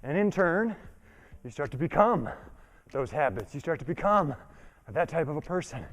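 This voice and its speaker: High-pitched, winded male fitness trainer. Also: sing-song, moderate pace. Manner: emphatic, conversational, frequent rhetorical check-ins. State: exerting, getting winded. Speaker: fitness trainer leading a workout. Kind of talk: motivational talk during exercise.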